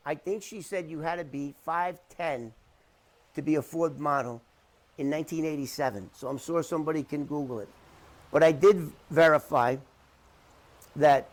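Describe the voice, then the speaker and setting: A middle-aged man talking unscripted to the camera in an emphatic, repetitive, halting way as he rebuts claims. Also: a little high. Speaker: interview commentator debunking someone's claims.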